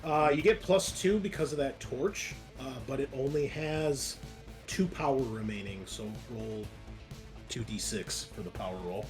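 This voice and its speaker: A man's voice: medium pitch, measured pace. A man playing a tabletop game, chatting casually and thinking aloud in a hesitant way. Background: soft music.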